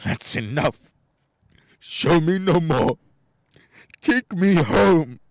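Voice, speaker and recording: An anguished old miser, played by a man in a radio play, pleading with a ghost. The voice is slow and a little high for a man, recorded dry with some music. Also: animated, vocal fry, no echo, heavily acted. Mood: distressed. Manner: pleading, drawn-out anguished delivery.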